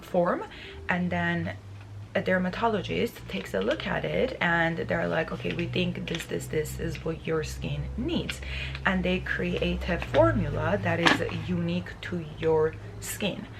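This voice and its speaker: Woman, thirties, raspy morning voice, casual chatty vlog, bedroom, faint room hum. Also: lowish voice, steady tone, a little slow. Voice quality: raspy, just woke up. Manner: chatty, many fillers and restarts. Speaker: beauty vlogger. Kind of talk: casual talk to camera. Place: bedroom, indoors.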